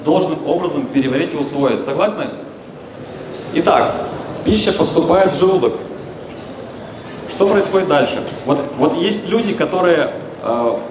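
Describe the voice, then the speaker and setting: A man giving an informal, explanatory health lecture from a stage, talking colloquially and putting questions to his listeners. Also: fairly high pitch. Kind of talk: informal public lecture to an audience. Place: stage in a large exhibition hall, recorded from the audience. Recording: echoey, noisy expo hall through a PA.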